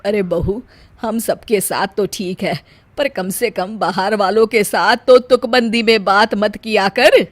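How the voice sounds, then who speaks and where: A woman's voice: medium pitch, slow, dry recording. A woman voicing an older mother-in-law in a story, easily exasperated, exclaiming and nagging with emphasis. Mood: disapproving.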